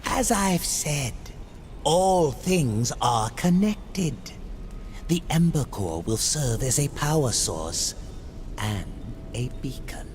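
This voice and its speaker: A sly demon merchant in a video game, courtly and scheming. He draws out his flattering, ornate phrases slowly, with swooping intonation, over clear background noise. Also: a little high. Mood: scheming, measured. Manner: unctuous, formal, deliberate, ornate speech. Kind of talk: video game cutscene acting.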